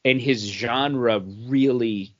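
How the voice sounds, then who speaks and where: Male co-host, medium-pitched, informal conversational reviewer on a slightly dull lo-fi podcast recording. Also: drawn-out words. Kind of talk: casual chat, book review.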